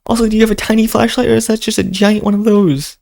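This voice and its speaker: A video host giving casual reaction commentary in an informal, expressive, sweary way. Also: mid-range voice, fast talker, gravelly, dry recording, clean studio sound.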